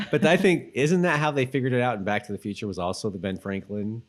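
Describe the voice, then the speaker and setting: A man co-hosting a casual podcast chat, rambling and halting as he thinks aloud. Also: mid-range voice. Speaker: podcast co-host.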